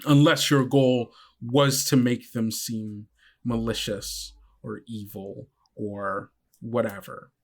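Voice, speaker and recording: A man narrating a tabletop game as game master, halting and full of restarts. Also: medium pitch, steady tone. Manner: halting, many fillers and restarts.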